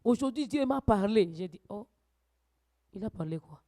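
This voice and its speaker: A woman preacher teaching a church sermon, swinging from quiet asides to loud, urgent exhortations. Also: medium pitch, lively intonation, moderate pace.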